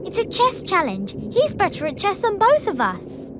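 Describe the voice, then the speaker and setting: A woman's voice: very high voice, wildly swooping pitch, normal speed, background noise, full character voice. A woman voicing a clever, anxious, rather bossy young schoolgirl witch in a video game. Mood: thoughtful.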